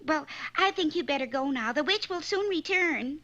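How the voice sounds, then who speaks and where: A woman's voice: high-pitched, expressive, normal speed, sharp tone, highs cut off, full character voice. A woman voicing a sweet, blunt young fairy-tale maiden in a cartoon, wry and matter-of-fact.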